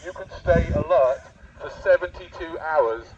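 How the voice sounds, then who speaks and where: A British man giving a mock-serious, provocative public speech through a megaphone in a deadpan manner, addressing passers-by directly. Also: fairly high pitch, normal speed. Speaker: street speaker with megaphone. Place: outdoor public park. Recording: dull megaphone outdoors, distant, faint noise.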